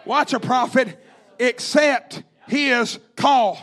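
Guy preaching a fiery revival sermon, really high voice, sharp and forceful, hammers phrases over and over. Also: extremely slow. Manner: emphatic, repetitive, rhythmic exhortation; calls out to the congregation.

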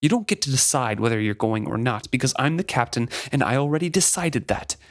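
A man's voice: medium pitch, normal speed, lightly acted. A man voicing a fierce, exasperated sea captain in a fantasy storybook, with commanding, emphatic delivery and swearing. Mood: angry, commanding. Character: fierce exasperated ship captain berating his stubborn living ship. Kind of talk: dramatic reading from a fantasy novel.

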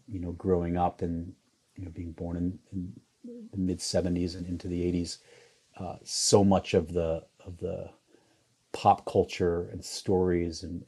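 A man's voice: low-pitched, vocal fry, dark tone, breathy edge. A man in his forties from the US Midwest, talking reflectively in an interview with many fillers and restarts. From Iowa, US Midwest. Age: 40s. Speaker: photographer guest.

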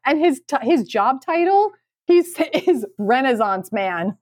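A woman co-hosting a podcast in casual chat, expressive and incredulous in the way she talks. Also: high-pitched, unhurried. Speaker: podcast host.

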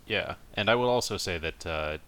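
A man's voice: low voice, moderate pace, a bit bright, clear, resonant tone, no echo. A man co-hosting a casual podcast discussion, explaining his opinions in long, qualified sentences. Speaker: podcast co-host reviewing anime.

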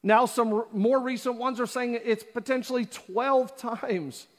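Pastor, male, high voice, preaching with rhetorical questions, reverberant church auditorium. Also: steady tone, a little slow. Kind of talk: sermon. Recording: long hall reverb.

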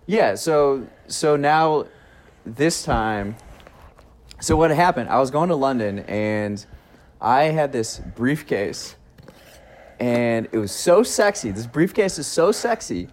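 Animated man chatting in bursts. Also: mid-range voice, takes it slowly. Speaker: podcast host. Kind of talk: casual chat. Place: garage. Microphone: phone used as recorder.

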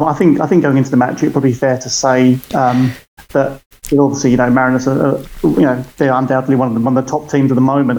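A man's voice: mid-range voice, a little mellow. Hesitant British man on a dull call line. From United Kingdom. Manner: hesitant, many restarts and ums. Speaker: guest, club supporter. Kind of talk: casual chat over a call. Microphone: call audio.

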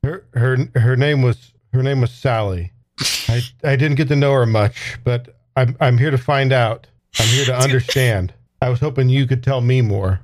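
A man's voice: mid-range voice, moderate pace, no room sound. A man playing a tabletop game, casually improvising what his character says and does.

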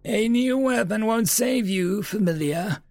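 A man voicing a smug, haughty old magistrate with a gruff, pompous delivery in a fantasy audiobook. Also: high voice, unhurried, a little mellow, no echo, pitched above the performer's own voice. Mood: boastful, menacing. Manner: pompous, boastful.

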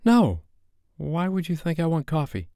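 A man's voice: a little high, big pitch swings, unhurried, mellow, no room sound, clean studio sound, voice pushed up. A man voicing a tense, defensive young woman who fires off clipped, suspicious questions.

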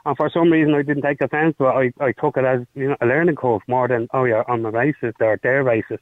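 A middle-aged man calls into a radio show over a thin, tinny phone line. He talks fast and earnestly in a common-sounding way. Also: medium pitch, steady tone, no room sound. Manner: fast, self-described common speech, earnest. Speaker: caller. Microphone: telephone.

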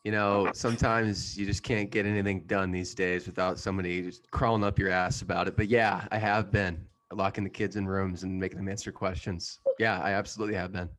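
Man playing a low-voiced, unhinged teacher. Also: level tone, a little fast, few pauses, a bit bright. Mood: smug, unrepentant. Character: unhinged male teacher forcing tests on captives. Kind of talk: improv comedy.

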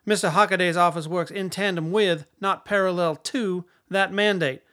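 An actor playing a stern, contemptuous older defense secretary in a radio drama, formal, clipped and condescending. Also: male voice, sits high, steady tone, normal speed, a bit bright.

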